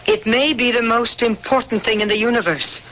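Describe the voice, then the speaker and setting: A woman's voice: a little high, a little fast, close to an everyday voice. An actress in her thirties playing a stern young woman interrogator on an alien world, cold, formal and probing. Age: 30s. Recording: muffled old radio broadcast.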